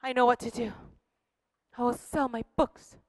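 A woman's voice: on the high side, level tone, normal speed, darkish tone, no room sound, big-room sound, lightly acted. A woman playing an earnest young man in a children's church skit, praying aloud and sighing. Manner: earnest, prays aloud, sighs. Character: earnest young male teacher.

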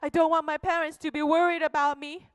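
A woman's voice: sits high, flat delivery, a little fast, no echo, long reverb tail, lightly acted. A woman playing an earnest young male teacher. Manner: earnest, prays aloud, sighs.

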